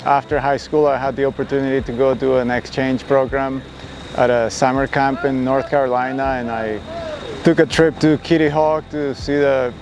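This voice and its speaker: A man from Colombia, a paraglider pilot, telling his story in a reflective, measured documentary interview. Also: medium pitch, little pitch movement, background noise.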